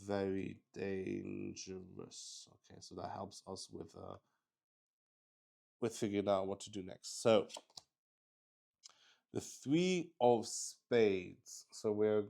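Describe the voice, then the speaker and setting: A man improvising narration for a solo tabletop roleplaying game as its host, halting as he thinks aloud. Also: on the low side, vocal fry, darkish tone.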